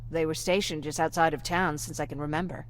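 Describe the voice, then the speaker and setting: A woman's voice: sits low, steady tone, quick pace, close to an everyday voice. An actress playing a weary young female scavenger surviving a war in a video game, earnest in manner. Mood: resigned. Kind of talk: video game cutscene acting.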